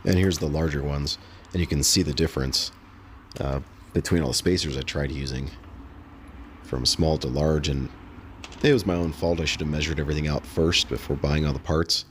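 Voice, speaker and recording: Guy with a really deep low voice talking thru a diy install, kinda halting, noise behind him. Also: fairly even intonation. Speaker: host of a DIY install vlog. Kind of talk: casual how-to narration over footage.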